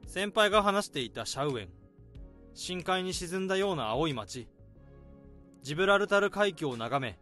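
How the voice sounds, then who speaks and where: A weary office worker turned sleuth. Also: male voice, on the high side, fairly bright tone. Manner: reasoning, measured and reflective. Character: weary overworked male office worker who plays detective. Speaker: narrator and protagonist office worker. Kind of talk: radio drama narration.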